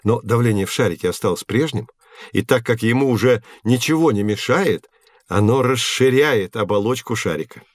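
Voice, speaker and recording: An actor playing a patient male physics professor in an educational audio drama, explaining clearly and didactically. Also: medium pitch, normal speed, dry recording, natural voice. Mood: instructive.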